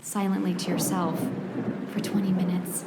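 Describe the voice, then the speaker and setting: A woman's voice: fairly low pitch, little pitch movement, moderate pace, lightly acted. An actress playing an earnest, urgent woman pleading with a detective in a comedic noir radio play, with dramatic delivery. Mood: insistent. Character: urgent, pleading female visitor in a noir mystery. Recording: echoey room, clear background noise.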